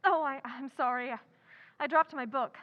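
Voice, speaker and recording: A woman's voice: high-pitched, steady tone, moderate pace, highs cut off, very noisy, natural voice. A woman playing an impulsive, earnest young tomboy writer in an old-time radio drama, with an emphatic delivery. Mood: flustered, eager.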